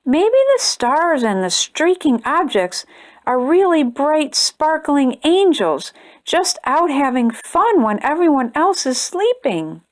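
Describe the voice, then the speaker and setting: A woman hosting a storytime channel, reading a children's picture book aloud in a gentle, expressive way. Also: high voice, slow. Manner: gentle, expressive reading, brighter and chattier when addressing viewers. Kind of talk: read-aloud storytelling for kids.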